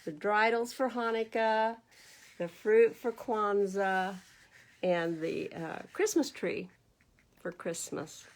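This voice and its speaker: A woman's voice: mid-range voice, slow pace. A woman with an American accent hosting a storytime for young children, reading a picture book aloud and putting questions to her listeners in a teacherly way. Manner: animated teacherly asides, asking the listeners questions.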